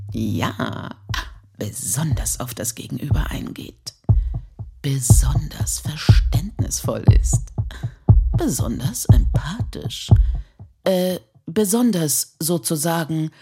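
Lowish female voice, husky, very varied intonation, reflective performance, faint music. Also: mellow.

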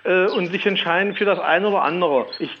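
A man with a high-pitched voice answers as an official on the phone. He stammers in flustered disbelief, and his line is dull with clear noise over it. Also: brisk. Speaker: official taking a phone call. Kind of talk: unscripted phone conversation. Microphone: telephone. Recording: noisy, dull telephone line.

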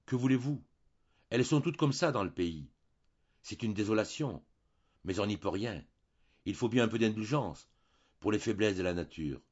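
A man's voice: fairly low pitch, lively intonation, normal speed, long pauses, darkish tone, husky, dull audio. A man voicing a chatty, moralizing old country priest who turns sly and jovial. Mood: preachy.

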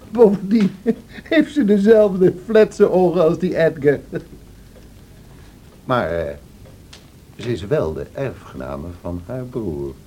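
Mocking, slow-talking wild man. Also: on the high side, expressive, dark tone. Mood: sly, calculating. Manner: mocking, menacing, drawling. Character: wild, cruel, scornful man of a classic novel. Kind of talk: radio drama acting. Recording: noisy old radio drama recording.

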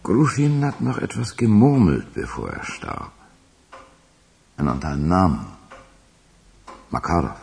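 A gravelly, low-voiced man is a gruff, sceptical policeman working for a gangster. His pitch moves animatedly above faint music. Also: huge pitch swings, unhurried, long pauses, darkish tone, heavily acted. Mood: sceptical, soothing. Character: gruff, sceptical police official serving a crime boss. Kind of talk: German radio crime drama acting.

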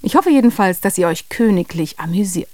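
A woman's voice: medium pitch, lively intonation, a little fast, warm tone, dry recording. A woman presenting and narrating a children's radio play in a scripted storytelling style, close on a studio mic.

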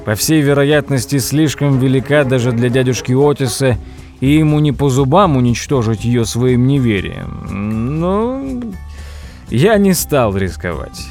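A nephew narrating an audio play in the first person. His voice is mid-pitched and expressive, telling the tale dramatically over faint music. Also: a little slow. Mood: dramatic. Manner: expressive storytelling. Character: storytelling nephew recounting his odd uncle's troubles. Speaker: narrator and character.

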